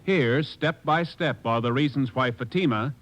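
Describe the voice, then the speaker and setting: Formal, mid-pitched male radio announcer. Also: moderate pace, a bit bright. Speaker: show announcer. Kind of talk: 1940s radio announcing, formal scripted read.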